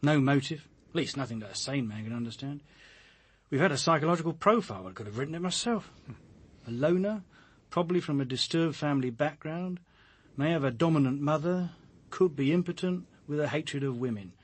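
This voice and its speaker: A man's voice: fairly high pitch, a little fast, breathy edge. A man playing a weary, haunted police detective in a radio drama, brooding and grimly emphatic. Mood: grim, exasperated.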